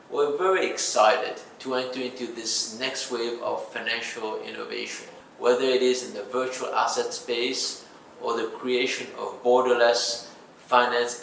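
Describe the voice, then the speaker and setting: A man's voice: medium pitch, fairly bright tone. A man delivering a prepared corporate speech as a company executive. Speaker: bank chief executive. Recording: gravelly playback in echoey, noisy room, distant.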